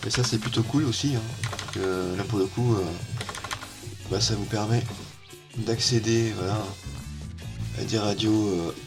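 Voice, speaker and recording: A man walking through a software tutorial at his computer, hesitant and full of filler sounds, on an amateur setup. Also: fairly low pitch, measured pace, long pauses, music in the background. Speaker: tech YouTuber. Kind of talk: casual screen-recorded software tutorial.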